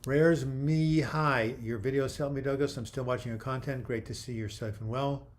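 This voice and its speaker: An older man hosting a live video chat, reading viewer comments aloud and replying in a friendly, halting way with frequent restarts. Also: mid-range voice, fairly even intonation. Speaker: livestream host answering viewer comments.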